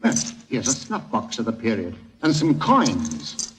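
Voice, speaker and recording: An actor playing an eager, excitable man who loves antiquities, with hesitant theatrical interjections, in a 1940s radio drama on a vintage recording. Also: mid-range voice, unhurried, background noise. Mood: excited, certain.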